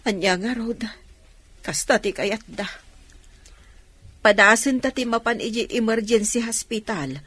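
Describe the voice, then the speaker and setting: Woman acting a husky, pleading, worried poor mother. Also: fairly high pitch, a little slow, pauses often, a bit bright, no echo. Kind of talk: Ilocano radio drama acting.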